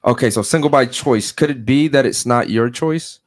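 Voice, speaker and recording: A man hosting a podcast panel in a casual, laid-back way, often saying "man" and giving shout-outs. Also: mid-range voice, normal speed, no room sound, studio quality. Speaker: podcast host.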